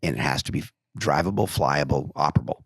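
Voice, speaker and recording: A man from Maine with a very low, gravelly voice, talking on a podcast. He muses aloud and puts on lively quoted questions as he makes his points. The sound is close and dry. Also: fast, dry recording. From Maine, USA. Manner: reflective, speaks in quoted questions with big pitch jumps. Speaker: podcast guest. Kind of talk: casual podcast chat. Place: podcast studio.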